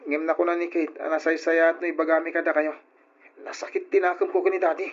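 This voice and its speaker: A man's voice: a little high, little pitch movement, quick pace, lightly acted. A man with an Ilocano accent playing a troubled, earnest young son and older brother in old radio drama style. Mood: grave, apologetic. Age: young adult. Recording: dull lo-fi old radio recording.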